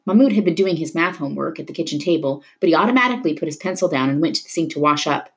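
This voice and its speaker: A woman reading a novel aloud in an expressive storytelling style, dramatic at tense moments. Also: deep voice, rapid-fire. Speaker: reader of a children's novel. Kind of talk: expressive read-aloud narration of a book.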